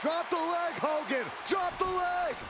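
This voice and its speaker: A man giving excited, partisan color commentary on wrestling. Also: extremely high pitch, unhurried. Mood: urging. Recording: noisy, loud arena crowd.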